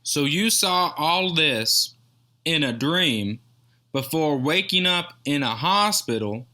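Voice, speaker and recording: A man playing a skeptical, mocking TV talk show host in a radio drama, deliberate and patronizing. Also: fairly high pitch, sharp tone, heavily acted. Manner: deliberate, condescending. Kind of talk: high school radio drama acting.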